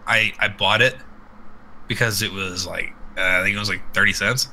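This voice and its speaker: A man's voice: low-pitched, steady tone, normal speed, creaky, sharp tone, a little hiss or hum, low-quality recording. A man chatting casually and comedically about video games as a podcast co-host, rambling and crude, often acting out exaggerated reactions.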